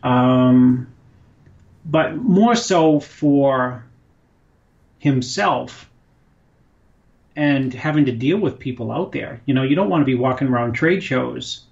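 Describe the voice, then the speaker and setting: A man's voice: mid-range voice, takes it slowly, vocal fry, long pauses, a little mellow. A man co-hosting a casual radio talk show, speaking in a dry, wry, thoughtful way. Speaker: co-host. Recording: dull lo-fi sound.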